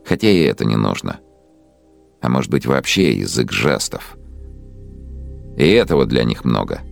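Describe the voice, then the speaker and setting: An actor playing a cold, imperious ideologue in a radio drama monologue, speaking with deliberate, commanding delivery. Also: male voice, extremely low pitch, big pitch swings, vocal fry, full character voice. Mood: cold, dismissive. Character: cold, imperious ideologue musing on ruling conquered people. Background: faint music.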